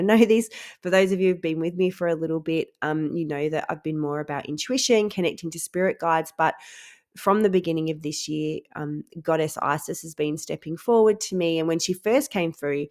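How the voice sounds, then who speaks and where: A woman's voice: low voice, darkish tone. A woman hosting a spiritual podcast, talking casually and earnestly. Her voice is nasal and stuffed up from a head cold. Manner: chatty and earnest, many ums and laughs. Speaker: podcast host.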